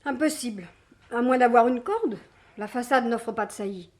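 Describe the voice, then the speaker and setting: A high-voiced young waitress, played by an actress in a French mystery drama. She is anxious and earnest, stressing her words as she pleads and defends someone she loves. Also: moderate pace, close to an everyday voice. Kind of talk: French radio mystery play acting.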